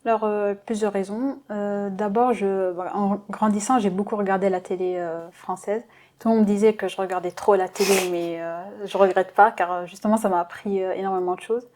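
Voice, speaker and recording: A woman's voice: medium pitch, fairly even intonation, a little fast, a little mellow. A young woman from Lebanon answering interview questions as a doctoral student, hesitant with many fillers and modest in tone.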